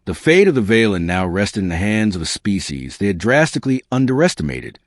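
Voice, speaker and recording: A male audiobook narrator reads science fiction in a lowish voice. His delivery is animated, with plenty of movement in pitch. The sound is dry and close, free of room. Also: brisk, no echo.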